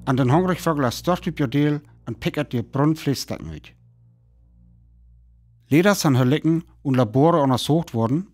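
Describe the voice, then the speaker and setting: Male, actor radio drama narrator: reflective war survivor, North Frisian accent, solemn delivery, mid-pitched, faint music underneath. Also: lightly acted. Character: reflective male survivor writing his account of a Martian war. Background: soft music.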